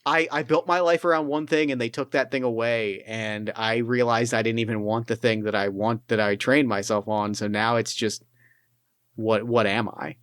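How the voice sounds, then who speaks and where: A man's voice: mid-range voice, fairly even intonation, normal speed, a bit bright, smooth, no room sound, studio quality. A man running a tabletop role-playing game, talking casually with lots of fillers and restarts. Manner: rambling, many fillers and restarts. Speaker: game master. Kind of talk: casual tabletop play and narration.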